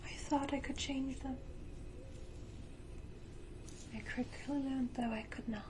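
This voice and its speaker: A woman's voice: fairly high pitch, mellow, a little hiss or hum, lightly acted, low-quality recording. Whispering, menacing female vampire acted by a woman. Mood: bitter, proud. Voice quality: breathy whisper. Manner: slow, deliberate, theatrical. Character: menacing, tenderly cruel female vampire matriarch doting on her victim. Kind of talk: whispered ASMR roleplay.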